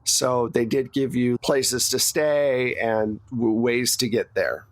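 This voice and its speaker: A man's voice: medium pitch, slow pace, dry recording. A man runs a tabletop roleplaying game, laying out scenes in a conversational, informal way. He explains details as a game master would. The sound is dry, with little room around the voice.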